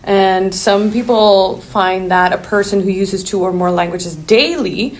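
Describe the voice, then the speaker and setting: A woman's voice: medium pitch, unhurried. A woman from Sweden moderating a casual discussion, leading it with inquisitive questions.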